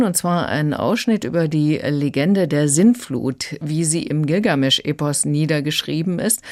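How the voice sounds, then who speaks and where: A woman's voice: deep voice, darkish tone. A woman book critic on a radio program explaining a recommendation in an engaged, explanatory way, with occasional hesitations. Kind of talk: radio review conversation.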